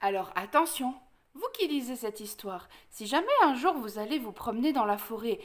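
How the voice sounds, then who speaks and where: A woman storyteller reading a picture book to children expressively, with dramatic pauses and emphatic repetition. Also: fairly high pitch, animated.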